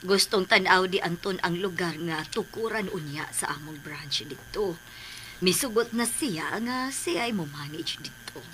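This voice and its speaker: A woman playing an earnest middle-aged mother in a radio drama, speaking with breathy emotional emphasis. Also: lowish voice, lively intonation, a little slow, a bit bright, slight background noise. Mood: grave, confiding. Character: earnest, pleading middle-aged mother, protective of her sons.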